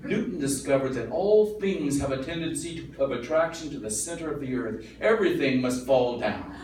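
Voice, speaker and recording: A man's voice: mid-range voice, animated, moderate pace, darkish tone, close to an everyday voice. A man playing a proud, easily exasperated father who explains things pompously and emphatically in an old-time radio comedy. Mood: exasperated lecturing. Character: proud, easily exasperated daddy and amateur chemist. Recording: very echoey, distant, noisy room recording.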